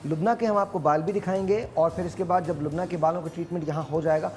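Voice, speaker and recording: A man hosting a morning talk show in a playful, teasing way, mixing English words into his lively chat. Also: on the high side, fast, faint music behind. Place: TV studio.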